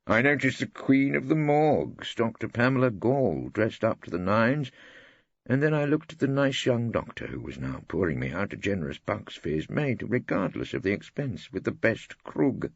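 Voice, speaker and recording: A deep-voiced English man narrates fiction with wry theatricality. His voice is husky and gravelly and swings expressively. The recording is dry and slightly dull. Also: sing-song, moderate pace, no echo. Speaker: audiobook narrator. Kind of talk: dramatised first-person fiction narration.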